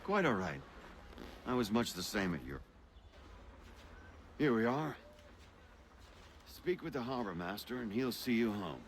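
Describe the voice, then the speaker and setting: A man's voice: fairly low pitch, very animated, unhurried, long pauses, a little hiss or hum. An actor playing a worldly, wry male guide who explains things patiently in a video game.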